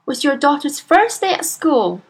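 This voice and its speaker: A woman's voice: sits high, very animated, a little slow, voice pushed up, exaggerated voice. A woman voicing a polite but resolute young schoolteacher. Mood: earnest, firm. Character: firm, earnest young schoolteacher.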